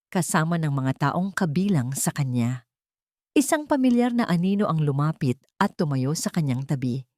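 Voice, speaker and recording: A woman narrating a scripted dramatic story in a measured, sentimental way, in short phrases. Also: fairly low pitch, darkish tone, no room sound, studio quality.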